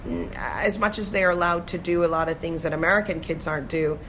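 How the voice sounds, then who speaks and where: Middle-aged woman from Jamaica, a low voice, easygoing storyteller, faint ambience. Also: fairly quick, a little hiss or hum, low-quality recording. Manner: relaxed storytelling, frequent restarts. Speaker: guest. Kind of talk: casual interview chat.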